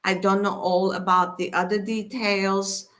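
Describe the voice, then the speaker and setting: Woman born in Iran, live-stream host, slow and unhurried with lots of ums, mid pitch. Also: flat delivery, a bit bright. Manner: unhurried, many fillers, speaks straight to viewers. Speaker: host of a weekly live inspirational session. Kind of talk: casual live-stream talk.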